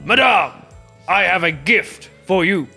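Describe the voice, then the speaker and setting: A man playing a gallant, flattering adventurer with formal, showy courtesy. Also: high-pitched, a little slow, creaky, slightly rough, faint music behind, pitched above the performer's own voice, full character voice. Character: gallant, flattering comic adventurer bearing homemade gifts.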